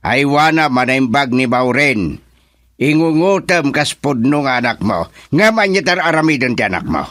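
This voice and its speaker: An actor as a stern, protective older father in an Ilocano radio drama, Filipino accent. His medium-pitched voice swings widely with melodrama. The sound is dry and close. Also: lively intonation, normal speed, a bit bright, no room sound, natural voice. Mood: indignant, stern.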